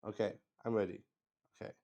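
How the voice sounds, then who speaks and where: A man hosting a solo tabletop roleplaying game in casual, halting live-stream chat, thinking aloud and repeating words. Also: on the low side, steady tone, dark tone, muffled recording. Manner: swears casually.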